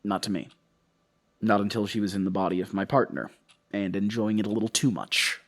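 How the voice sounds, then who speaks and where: Man playing a low-voiced, troubled investigator. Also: background noise, close to an everyday voice. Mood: weary, confessional. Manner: halting, rambling, anxious, confessional. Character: troubled, defensive male investigator recounting his case. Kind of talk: horror audio drama acting.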